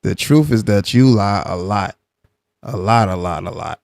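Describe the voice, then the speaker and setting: A man's voice: on the low side, measured pace, creaky, a little mellow, dry recording, studio quality. A man hosting a live stream, giving commentary and arguing with a caller in a blunt, insistent way that repeats points. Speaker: livestream host.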